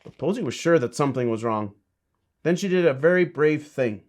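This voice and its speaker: A man's voice: on the high side, expressive, brisk, darkish tone. A man, a bishop, reading a children's storybook and chatting to kids with simple, encouraging asides.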